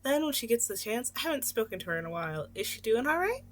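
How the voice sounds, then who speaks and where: A woman's voice: fairly high pitch, very animated, fast, voice pushed up. A woman voicing a stubborn, concerned mother in a story reading, with an emphatic, pressing delivery. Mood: warm, concerned.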